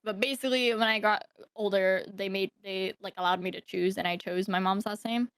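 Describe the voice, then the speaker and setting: A woman's voice: mid-range voice, steady tone, a little fast, fairly bright tone, smooth, no echo. A young woman from Thailand telling her life story as a podcast guest, hesitant, with many fillers and restarts. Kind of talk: casual interview storytelling.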